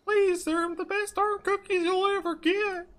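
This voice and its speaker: A man's voice: very high voice, steady tone, unhurried, fairly bright tone, pitched above the performer's own voice. A man voicing a wheedling cookie seller in a tabletop role-playing game, pleading to make a sale. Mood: pushy. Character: pleading, squeaky cookie seller with crocodile tears.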